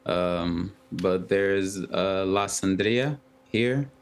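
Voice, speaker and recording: A young man, a professional footballer and a Twi speaker, giving halting, soft-spoken interview answers full of ums. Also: deep voice, clean tone. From United States.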